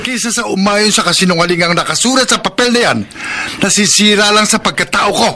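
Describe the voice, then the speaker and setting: An actor playing an upright, dignified construction worker in a Filipino radio drama, speaking earnestly. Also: male voice, sits high, fairly quick, sharp tone. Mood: defiant. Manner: earnest, principled. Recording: noisy radio drama recording.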